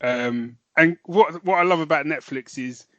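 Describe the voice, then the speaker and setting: A man with a fairly high voice co-hosts a podcast in loose, laughing chat. He keeps calling his friend "man" and "mate" as he gets carried away retelling a film. The sound is close and dry. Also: measured pace, fairly bright tone, no echo, highs cut off. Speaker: podcast co-host. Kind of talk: casual chat.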